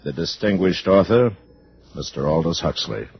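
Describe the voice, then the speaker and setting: A man with an American accent announcing formally and grandly in deliberate 1950s radio style. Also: low voice, lively intonation, vocal fry, breathy edge, no echo. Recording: dull old radio broadcast recording. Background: faint orchestral music.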